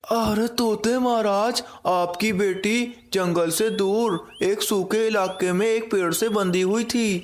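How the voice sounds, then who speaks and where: A man's voice: sits high, fairly even intonation, slow, few pauses, a little hiss or hum. A man voicing a polite, helpful pigeon in a children's cartoon story, speaking plainly. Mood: earnest.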